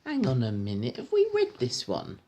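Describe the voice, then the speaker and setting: A man reading a storybook aloud to a child in an informal, encouraging, gentle way, with chatty asides. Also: medium pitch, wildly swooping pitch, normal speed, muffled recording. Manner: encouraging, gentle, echoes the child's words back. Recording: home recording with faint background noise.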